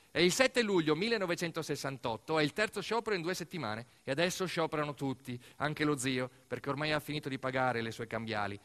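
A man performing a narrative theatre monologue as a storyteller, emphatic and dramatic, swinging between hushed and raised delivery. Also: on the high side. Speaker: stage actor narrating a monologue.

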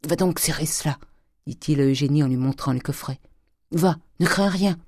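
A woman voicing a gruff, miserly old father who cajoles and blusters in short exclamations, in a storybook reading. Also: deep voice, moderate pace, a little mellow, dry recording, studio quality. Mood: effusive. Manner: exclamatory, wheedling, abrupt short phrases.

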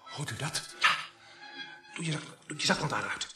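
An actor playing a brusque, weary police sergeant who questions and commands drily in a radio play. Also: male voice, a little high, animated, normal speed, sharp tone, soft background music. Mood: tense, hushed. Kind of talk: Dutch radio drama acting. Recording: echoey, distant, faintly noisy radio-play sound.

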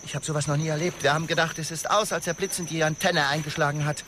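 An actor playing an agitated, talkative storm survivor in a radio drama, urgent and full of questions. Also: male voice, on the high side, little pitch movement, fast, noisy background, lightly acted.

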